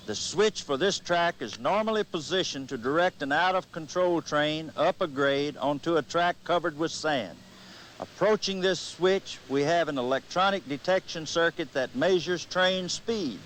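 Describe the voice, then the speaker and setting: A man's voice: fairly high pitch, a bit bright, slight background noise. A man presenting scripted historical narration in a folksy manner, speaking directly to viewers.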